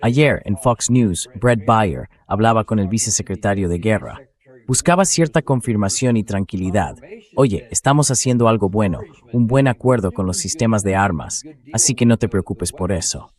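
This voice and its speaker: A man's voice: lowish voice, moderate pace. A man hosting a political discussion, speaking in an emphatic, sceptical way with pointed rhetorical questions. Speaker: talk show host and political commentator.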